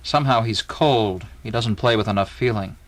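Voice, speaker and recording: A man's voice: lowish voice, normal speed, no room sound, close to an everyday voice. A man reading the part of a skeptical, grumbling army major, blunt and sardonic, in an informal dialogue reading.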